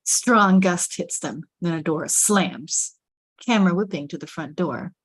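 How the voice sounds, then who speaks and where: Lowish-voiced woman narrating suspensefully. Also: measured pace, breathy edge, no echo. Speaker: narrator reading stage directions. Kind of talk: dramatic scripted narration at a table read.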